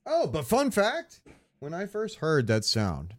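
A man's voice: on the high side, wildly swooping pitch, slow pace, no echo, studio quality. A man co-hosting a podcast in casual comedic chat, rambling through jokey, sweary stories. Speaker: podcast co-host.

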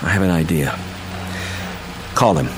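An actor in a radio drama playing a level-headed family friend, measured and reasoning. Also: male voice, low-pitched, a little hiss or hum. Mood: urgent, directing. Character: level-headed, rational family friend, a man explaining the inexplicable.

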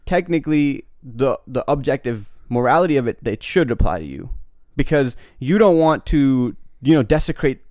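A young man from Pakistan talks loosely in a casual podcast chat. His voice sits at an ordinary mid pitch and is recorded close and dry, with no room sound. He rambles in slang and keeps stopping to correct himself. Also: animated, moderate pace, few pauses, a little mellow, dry recording.

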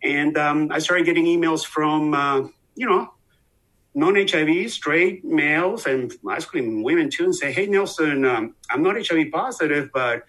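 A man's voice: fairly high pitch, normal speed, a bit bright, poor audio. A man in his sixties with a Venezuelan accent, answering as an interview guest, earnest, with many fillers and restarts. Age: 60s. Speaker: guest expert.

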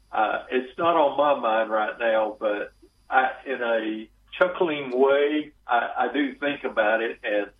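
A man from Texas with a Southern accent, a guest author talking slowly and haltingly in an interview. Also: mid-range voice, narrow, tinny audio. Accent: Southern US, Texan. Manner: slow, halting, with chuckles. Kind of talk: interview conversation.

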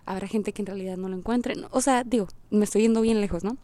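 A woman's voice: mid-range voice, steady tone, quick pace, mellow, no room sound. A woman giving a casual, reflective monologue as a podcast host, informal and slangy, rambling as she thinks aloud. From Puerto Rico.

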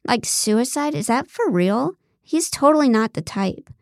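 A woman's voice: a little high, animated, a little slow, no room sound, clean studio sound, voice pushed up. Woman as a brash, dramatic young diva. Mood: incredulous.

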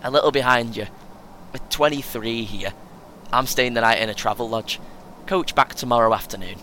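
A man's voice: moderate pace, pushed and bright, close to an everyday voice. A man playing a cheeky, chatty British runner in his twenties in an audio play, with a casual, laddish manner. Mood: friendly. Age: young adult. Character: cheeky, chatty young male marathon runner, 23. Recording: clear vehicle rumble noise. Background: vehicle ambience.

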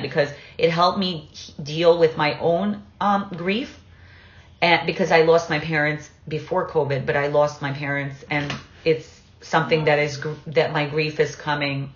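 A woman talking personally and conversationally, haltingly rephrasing herself mid-sentence as she goes. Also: sits low, fairly even intonation, echoey room, muffled recording. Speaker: interview participant, writer and parent.

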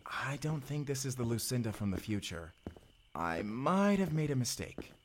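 A man's voice: mid-range voice, sing-song, moderate pace, a little hiss or hum, natural voice. A male actor voices a young time traveler in a science fiction audio roleplay. He is earnest and affectionate, with a mid-range voice, and speaks to the listener as a close companion. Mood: awkward, cautious. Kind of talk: first-person audio drama dialogue, spoken to the listener.

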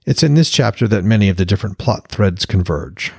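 A man's voice: lowish voice, lively intonation, fairly quick, gravelly, husky, no echo, clean studio sound. A man reading his own fantasy novel as a podcast narrator, in an audiobook storytelling style.

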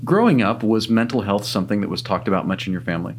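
A man's voice: on the low side, expressive, fast talker, a little hiss or hum. A man hosting a podcast interview, friendly, who reads guest intros and asks questions. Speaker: podcast host.

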